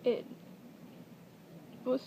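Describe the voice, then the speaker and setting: A woman's voice: very slow, dull audio, slight background noise. A young adult woman talking casually and hesitantly in a personal confessional vlog, recorded at home on a built-in device mic.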